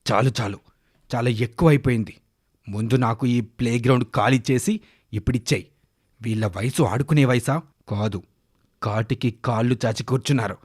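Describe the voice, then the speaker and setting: A man's voice: medium pitch, normal speed, long pauses, a little mellow, husky, voice pushed down, natural voice. Man voicing a menacing, scornful village thug. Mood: threatening. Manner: bullying, commanding, scornful. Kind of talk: children's animated moral story.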